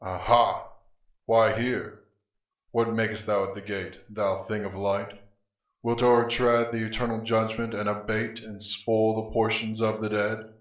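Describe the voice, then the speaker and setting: A grim, scornful spirit of Death acted by a man declaims archaic verse with theatrical defiance. The voice is low and very slow, caught at a distance in a strongly echoing room. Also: level tone, lightly acted. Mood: indignant. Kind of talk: verse stage drama, declamatory. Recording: muffled, echoey, distant from mic.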